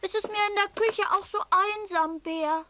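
A woman's voice: extremely high pitch, steady tone, moderate pace, background noise. A woman voicing a small, sweet, eager tiger child in a children's audio play. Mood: earnest.

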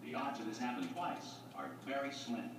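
A man voicing a calm, pre-recorded facility announcer in a video game, measured and formal. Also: medium pitch, vocal fry, noisy background, close to an everyday voice. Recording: game audio from TV speaker, roomy and distant.

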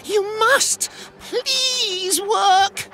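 A very high-pitched fretful little cartoon boat. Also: female voice, drawn-out words, fairly bright tone, full character voice. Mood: anxious, pleading. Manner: fretful, pleading. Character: anxious, flustered little sailboat. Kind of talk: animated children's cartoon acting.

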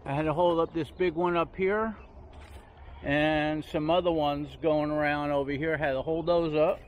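A man's voice: on the high side, steady tone, a little hiss or hum. A man giving a casual, rambling tour of his backyard garden, recorded outdoors on a handheld phone. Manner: rambling, unhurried, points things out as he walks.

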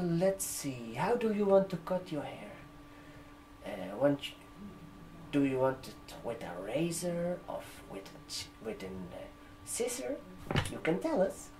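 A man in his twenties with a non-native English accent, speaking gently and haltingly in an ASMR roleplay as a barber shop receptionist. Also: fairly high pitch, slight background noise, rough recording. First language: not English. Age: 20s. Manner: gentle, halting, drawn-out phrasing with check-in questions. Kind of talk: ASMR roleplay, soft customer-service talk. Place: indoor room, seated at a desk with a laptop. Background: computer keyboard typing.

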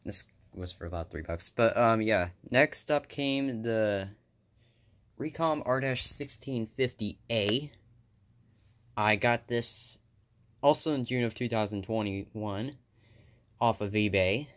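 A man's voice: lowish voice, takes it slowly, fairly bright tone. Casual young man listing his gadgets. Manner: offhand, listing items with fillers and self-corrections. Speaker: hobbyist vlogger. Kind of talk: casual show-and-tell narration. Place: indoors, room with carpeted floor.

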